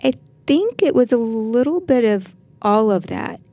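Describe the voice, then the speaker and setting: A woman's voice: a little high, lively intonation, measured pace, mellow, no echo. A woman from Kansas with an American accent, a podcast guest talking reflectively about personal experiences in conversation. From Kansas, United States. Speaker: interview guest, art teacher.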